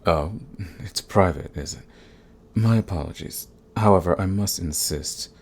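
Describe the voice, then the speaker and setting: An actor playing a suave, sadistic vampire lord in an ASMR roleplay, with a theatrical, silky, condescending manner and a husky, gravelly voice. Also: male voice, low voice, full character voice. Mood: silky, insistent. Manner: theatrical, silky, condescending endearments, drawn-out phrasing. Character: suave sadistic male vampire lord, predatory, mocking, possessive.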